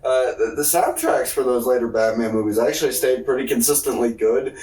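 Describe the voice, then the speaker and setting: A man's voice: mid-range voice, darkish tone. Male podcast co-host, off-mic in an echoey room; loose, rambling, sweary, jokey delivery. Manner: halting, rambling, profane. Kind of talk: casual comedic chat. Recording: roomy, echoey, distant mic.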